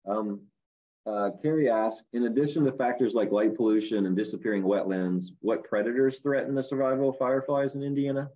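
A man's voice: mid-range voice, steady tone, brisk, warm tone. A middle-aged man moderating an online lecture, plainly reading out audience questions. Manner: brief, reads questions aloud plainly. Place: office room. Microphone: laptop webcam mic on video call. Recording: dull distant video call audio.

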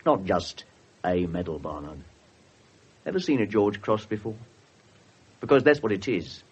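An English actor playing a seasoned, loyal police sergeant with a dry, measured manner in a radio drama. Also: male voice, lowish voice, very animated, slightly rough, lo-fi sound, exaggerated voice. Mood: dry, reproving. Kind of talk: 1970s radio drama acting.

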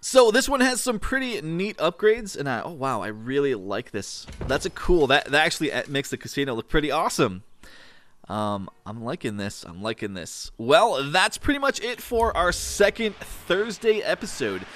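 A man talks through his city-building game in a loose, rambling way, full of fillers. His voice sounds a bit worn and raspy, and his pitch swings up and down a lot as he gets excited. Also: moderate pace. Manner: chatty, enthusiastic, rambling with fillers. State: slightly raspy, tired voice. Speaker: let's play host. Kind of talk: casual gameplay commentary.

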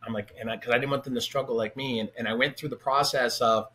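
A man's voice: mid-range voice, fairly even intonation, fast talker, a bit bright. A middle-aged man hosting a podcast, chatting energetically with a guest over a video call from his home office. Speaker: podcast host. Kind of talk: casual interview chat. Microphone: webcam on a video call.

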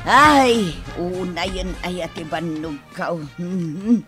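An actress playing a brash, gossipy village woman who dodges her debts, loud and exclaiming, in a radio comedy drama. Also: fairly low pitch, slow, faint music behind. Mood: sly chatting.

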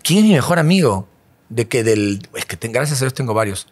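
A man's voice: medium pitch, fairly quick, husky. A man with a Peruvian accent, chatting as a guest in an interview and rambling with many restarts. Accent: Peruvian Spanish. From Peru. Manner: rambling, restarts a lot, animated. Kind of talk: casual interview chat.

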